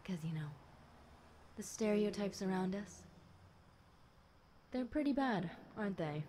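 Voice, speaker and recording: A woman's voice: mid-range voice, expressive, unhurried, dark tone, natural voice. A woman voicing a bored, chatty demon girl in an audio roleplay, casual and teasing, talking straight to the listener. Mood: laid-back. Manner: casual, teasing, conversational with light chuckles. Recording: clear background noise.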